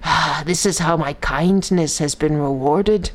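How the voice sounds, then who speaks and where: A woman's voice: deep voice, a little slow. A woman voicing an old, worn-out female buffalo in a folktale, bitter, sighing and weary.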